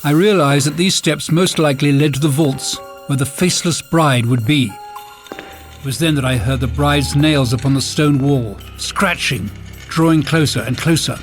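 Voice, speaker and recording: A man's voice: fairly high pitch, normal speed. A man narrating a mystery audiobook in a dramatic, suspenseful first-person style. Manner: suspenseful, theatrical. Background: music score.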